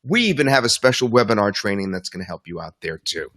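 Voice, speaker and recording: A man hosting an online webinar, talking up a product in an enthusiastic, rambling sales pitch. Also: expressive, fast, fairly bright tone. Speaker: webinar host. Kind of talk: promotional webinar talk.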